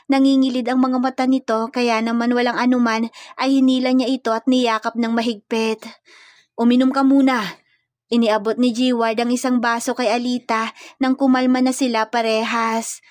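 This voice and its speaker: A woman's voice: fairly high pitch, no echo, clean studio sound. A woman storyteller reading scripted romance fiction in a dramatic narrative style.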